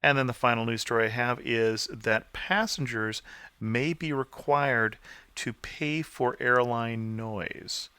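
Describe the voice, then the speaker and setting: A man hosting a podcast, presenting and interviewing in a clear scripted-radio style. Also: mid-range voice, pushed and bright, no room sound. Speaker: podcast host.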